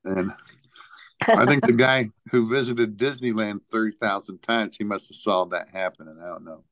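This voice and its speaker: A man co-hosting a casual talk show, reading out a list in a halting way and searching for his place. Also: on the low side, a bit bright, no room sound. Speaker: podcast co-host presenting a top ten list.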